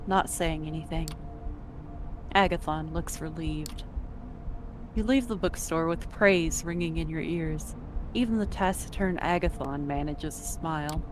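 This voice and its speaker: A woman's voice: low voice, slight background noise, rough recording. A woman narrating a visual novel as a scripted story.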